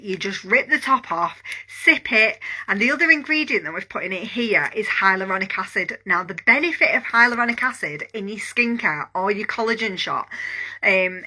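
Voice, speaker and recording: A woman in her forties, stuffy with a cold and sore throat, enthusiastically pitching a skincare product in a casual vlog. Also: bright, forceful tone, poor audio. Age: 40s. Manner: chatty, enthusiastic, rambling with fillers. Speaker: online business coach.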